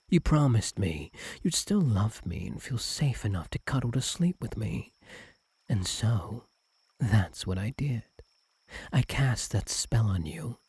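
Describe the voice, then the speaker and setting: A man voicing a doting, possessive fox-spirit husband in an intimate ASMR roleplay, soft and breathy, often slipping into whispers, tender and clingy. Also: on the low side, no echo, exaggerated voice. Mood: adoring, confessional. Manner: tender, clingy, hesitant, lingering on words.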